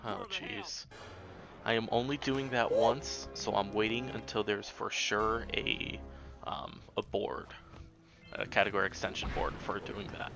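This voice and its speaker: A man's voice: on the low side, steady tone, measured pace, vocal fry. A man giving casual, offhand live commentary as he plays a game, often muttering to himself. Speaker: speedrunner streamer. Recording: game music behind the voice. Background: video game music.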